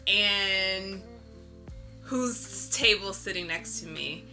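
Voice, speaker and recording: American woman chatting in an interview, bright voice, expressive, bit of music behind. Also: mid-range voice, drawn-out words. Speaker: interview guest, visual artist. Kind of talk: casual interview chat.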